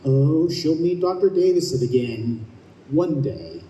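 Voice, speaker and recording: An actor playing a reassuring, matter-of-fact guardian angel in an old-time radio play. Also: male voice, a little high, sing-song, a little slow, warm tone, slight background noise. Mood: calm reassurance. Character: Donald, calm knowing guardian angel guide, male. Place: live performance in a convention room. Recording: echoey hall, far from mic.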